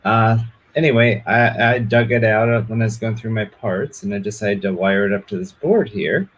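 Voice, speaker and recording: A man's voice: fairly low pitch, slightly rough, dull audio. Hesitant man giving a casual demo. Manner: hesitant, halting. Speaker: guest demonstrating a project. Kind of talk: casual show-and-tell demo.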